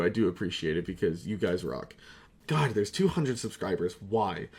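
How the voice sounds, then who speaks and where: A young man with a fairly low voice talks casually to his viewers. He rambles off on tangents, swears a lot and pokes fun at himself. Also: a little mellow, slightly rough. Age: young adult. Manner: self-deprecating, sweary, tangential. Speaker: amateur voice actor and video creator. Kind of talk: casual rambling retrospective chat.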